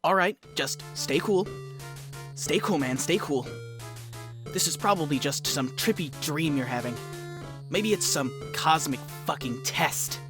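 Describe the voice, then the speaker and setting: A woman's voice: sits low, expressive, unhurried, music in the background. A woman voicing an irritable, foul-mouthed young male troll in a comic fan dub, abrasive and exasperated. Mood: nervous self-talk.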